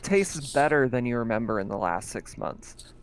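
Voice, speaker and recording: A man narrating as game master in a casual online tabletop session, relaxed and quick to laugh, with lots of ums and uhs. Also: medium pitch, slight background noise. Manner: relaxed, laughs often, many fillers.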